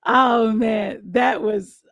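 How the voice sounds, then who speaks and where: A woman's voice: on the high side, fairly even intonation, drawn-out words, gravelly, fairly bright tone, no echo. A woman hosting a talk show, chatting playfully and giggling with her guest. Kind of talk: casual chat.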